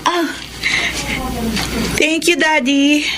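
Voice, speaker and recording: An eager, dutiful young daughter in a Filipino radio drama. Her high voice is polite and sweet, with a Filipino accent and clear background noise. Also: steady tone, very slow. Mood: grateful, eager. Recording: noisy ambience behind the voice.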